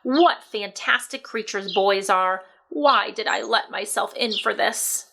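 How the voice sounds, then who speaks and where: A woman's voice: medium pitch, vocal fry, sharp tone, a little hiss or hum, exaggerated voice. A woman voicing a self-important, sardonic male rat who complains at length in a storybook. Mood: disgusted. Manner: wordy, sardonic complaining, drawn-out delivery.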